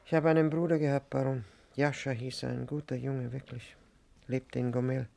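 An actor playing a gentle, fatalistic older man in hiding in a 1950s German radio play, quiet, pensive and plain-spoken, with a creaky voice. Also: mid-range voice, level tone, normal speed, natural voice. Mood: grim, fatalistic.

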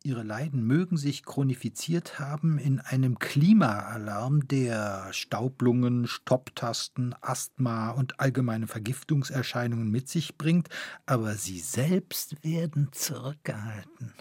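A gloomy, formal man in a literary radio play. His voice is husky and mid-pitched. He speaks deliberately, recorded close and dry. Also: a little mellow, dry recording. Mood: dry, resigned.

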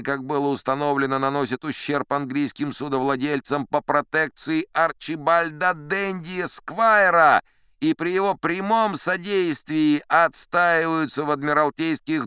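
Man voicing a haughty scheming English club gentleman, high indignant accuser, crisp dry studio sound for an audio drama. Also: dry recording.

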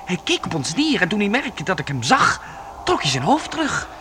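A very high, lively young adventurer's voice on a clearly noisy old radio play recording. He is eager and easily startled, pushing out short exclamations and tripping into stammers, with pitch that jumps about. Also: moderate pace, heavily acted. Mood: frightened insistence. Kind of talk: Dutch radio play acting.

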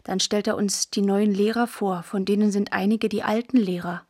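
A woman's voice: mid-range voice, steady tone, moderate pace, a little mellow, dry recording, studio quality, lightly acted. A woman narrating a literary radio play as a girl who remembers the war's end, observant and matter-of-fact, in short clipped sentences. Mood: sober, observant.

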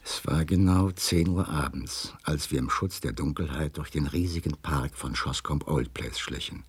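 A man in a radio play acting a detective's loyal gentleman companion, narrating and speaking in a composed storytelling manner. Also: a bass voice, moderate pace, husky, heavily acted. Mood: composed, suspenseful narration.

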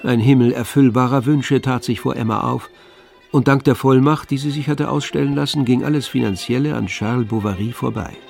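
An actor playing the detached, wry narrator of a literary radio play, with a gravelly voice. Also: male voice, medium pitch, brisk, a little mellow, faint music behind, natural voice.

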